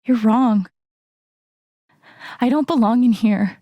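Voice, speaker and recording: A woman's voice: on the high side, slow, dark tone, no room sound. A woman voicing an earnest young woman in a video game, heartfelt and hesitant, with raw emotion in a naturalistic dramatic style. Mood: distressed.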